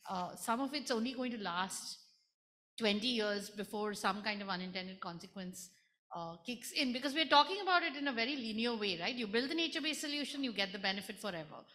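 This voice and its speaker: A woman's voice: a little high, expressive, a little fast, pushed and bright. A woman co-convenor of a conference session posing a thoughtful, chatty question to the panel. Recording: long reverb of a conference hall.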